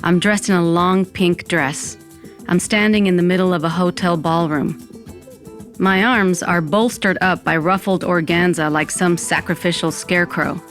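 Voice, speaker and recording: Actress as undead woman, lowish voice, sardonic dramatic audio-drama narration, music underneath. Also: fairly even intonation, normal speed, lightly acted. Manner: wry, dramatic, peppered with Spanish exclamations. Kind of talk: first-person audio fiction narration.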